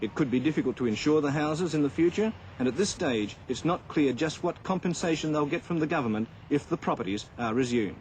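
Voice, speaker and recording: A man's voice: on the high side, animated, fairly quick, few pauses, darkish tone. A man delivering a scripted 1970s television news report as a correspondent. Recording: faintly noisy 1970s outdoor report.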